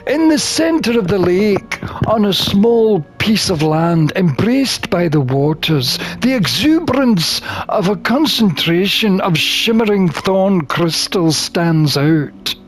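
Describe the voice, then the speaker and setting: A man reading a visual novel aloud in an expressive storytelling style. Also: high-pitched, slow, few pauses. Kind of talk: expressive scripted narration and character reading.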